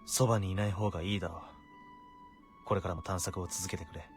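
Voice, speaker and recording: An actor playing a stern, sardonic court official in a visual novel, curt and authoritative. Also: male voice, low-pitched, fairly even intonation, racing, faint music behind, natural voice. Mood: dry, weary. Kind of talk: Japanese visual novel voice acting.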